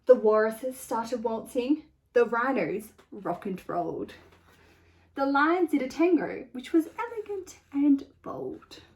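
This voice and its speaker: A woman teacher doing a storytelling read-aloud for young children, expressive and clearly phrased. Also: high-pitched, slow pace. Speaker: teacher reading a picture book to children.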